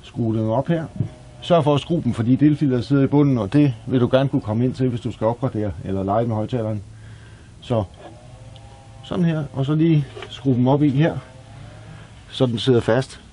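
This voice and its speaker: A Danish man with a mid-pitched voice walks through a practical job in a casual, explanatory tone. He speaks plainly, like an instructor showing each step. The recording has a clearly echoey room sound with faint noise underneath. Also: long pauses, dark tone. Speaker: DIY presenter. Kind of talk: hands-on tutorial narration, casual.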